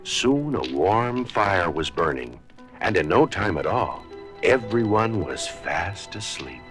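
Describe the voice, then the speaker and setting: A man narrating a scripted children's story in a dramatic, deliberate storybook style. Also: deep voice, very animated, over music.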